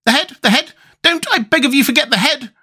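Man doing an excitable, very high-voiced bug. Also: a little fast, exaggerated voice. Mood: frantic. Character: excitable, pompous giant talking insect.

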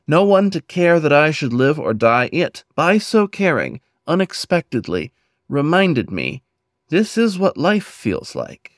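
A man with a medium-pitched voice gives a dramatic poetry recitation. His delivery is earnest and measured, with careful pauses between phrases. The sound is close and dry, with very little room. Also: dry recording. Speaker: poet and podcast host reading own poem.

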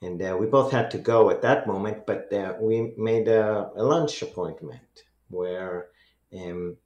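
A middle-aged man from Israel with an Israeli accent and Hebrew as his first language, telling his personal testimony as a radio guest in an earnest, measured way. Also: on the low side, a little mellow, roomy sound, muffled recording. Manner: earnest, measured storytelling with many "um"s.

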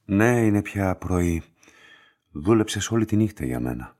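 An enigmatic laboratory master in a radio drama, a man with a low voice. He is cold and controlling, giving terse orders with detached authority. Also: big pitch swings, a little mellow. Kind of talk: radio play acting.

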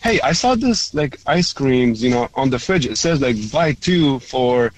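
A man's voice: mid-range voice, lively intonation, unhurried, rough recording. Rambling Arabic-speaking male caller. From Lebanon. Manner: rambling, many fillers, laughs often. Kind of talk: casual radio call-in chat.

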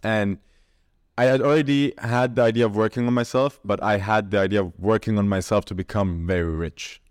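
A young man from Syria, a guest on a chat podcast, tells his story with lively energy and laughs easily. His voice sits low and sounds smooth and clear, with a dry, close sound. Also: a little fast, no echo. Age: 20s. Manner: animated storyteller, laughs often. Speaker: podcast guest, mindset coach. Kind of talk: casual interview chat.

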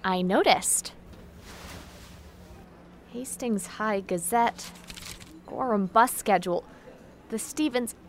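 A woman's voice: mid-range voice, slow, pauses often, slight background noise. A woman playing a snide, suspicious acquaintance in an audio drama, sarcastic and confrontational. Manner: sarcastic, challenging.

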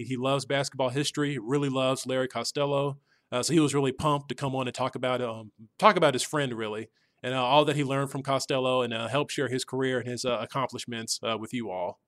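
A man's voice: mid-range voice, fairly bright tone. A man introducing a podcast as its host in a conversational style.

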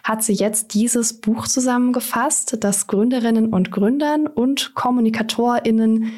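A woman's voice: fairly high pitch, unhurried, darkish tone. Podcast host lady, chatty and upbeat, voice kinda worn out from being sick. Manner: upbeat, effusive introductions. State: slightly under the weather, voice strained. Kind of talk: conversational podcast interview.

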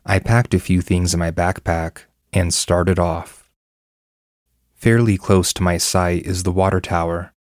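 Male narrator, very low register, dry close studio sound, scripted first-person horror storytelling. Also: fairly even intonation, measured pace, dry recording.

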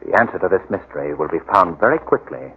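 An authoritative gentleman sleuth in a 1940s radio mystery. His voice is deep and decisive, on a dull recording with clear background noise. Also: expressive, fast talker. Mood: resolute, urgent.

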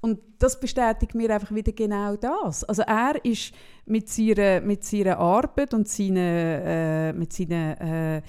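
A young adult woman with a Swiss German accent, co-hosting a podcast in blunt, animated casual chat. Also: medium pitch, unhurried, clean tone. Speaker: podcast co-host.

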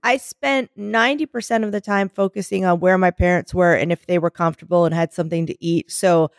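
A woman's voice: fairly low pitch, fairly even intonation, brisk, no echo, studio quality. A woman co-hosting a podcast in casual chat, her voice smoky and scratchy from a sore throat, telling stories with lots of restarts. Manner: chatty, rambling storyteller with many restarts. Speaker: podcast co-host.

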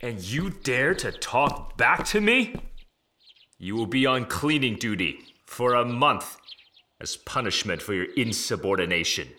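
An actor playing a stern, imperious headmaster of an imperial painting academy, formal and lecturing in a radio drama. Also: male voice, medium pitch, sing-song, a little slow, pushed and bright, slight background noise. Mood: outraged.

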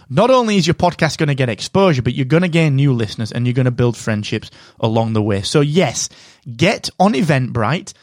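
A man hosting a solo podcast, giving direct, energetic advice while sounding slightly nasal from a cold. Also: fairly high pitch, no room sound, studio quality. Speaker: podcast host.

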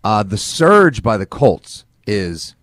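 A man's voice: on the low side, sing-song, takes it slowly, a bit bright, dry recording, clean studio sound. A man co-hosting a sports podcast, chatting casually about football in a colloquial, emphatic way. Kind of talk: casual football analysis chat.

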